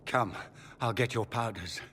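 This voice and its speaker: A man playing a formal, authoritative older Tevinter magister, an anxious father, with a husky voice. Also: mid-range voice, measured pace, bright, forceful tone. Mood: worried, tender. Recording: long echoing hall reverb.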